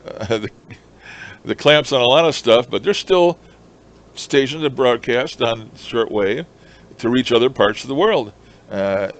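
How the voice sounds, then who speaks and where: A man chatting casually in a folksy, rambling way as he explains his hobby. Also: medium pitch. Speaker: shortwave radio hobbyist presenter.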